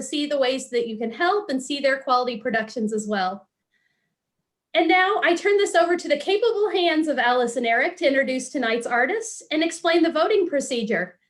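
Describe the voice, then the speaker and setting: High-voiced woman giving earnest announcements. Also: lively intonation, brisk. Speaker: arts event speaker. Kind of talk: prepared welcome speech and announcements.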